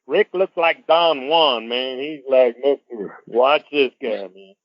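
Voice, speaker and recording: A man's voice: medium pitch, slow pace, a crowd in the background. A man chatting casually as a guest on a call, in a familiar, teasing way. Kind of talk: casual joking chat. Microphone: telephone. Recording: dull, dry telephone line.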